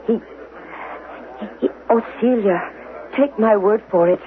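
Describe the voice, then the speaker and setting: An actress playing an anxious, upset educator in 1970s radio drama, earnest and halting. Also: female voice, mid-range voice, lightly acted. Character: anxious, upset female educator confiding in friend. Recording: phone-like old radio broadcast, background murmur.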